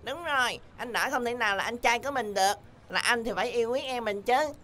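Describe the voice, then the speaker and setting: A woman's voice: fairly high pitch, fast, slight background noise, lightly acted. A woman playing a sulky younger sibling who grumbles about a mean brother in a cartoon. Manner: whiny, grumbling. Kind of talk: cartoon voice acting. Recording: cartoon soundtrack with loud music.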